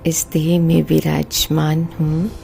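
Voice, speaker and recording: A woman leading a guided meditation in a hushed, unhurried way, with long pauses between phrases. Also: deep voice, completely flat delivery, gravelly. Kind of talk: slow guided meditation commentary. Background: meditation music.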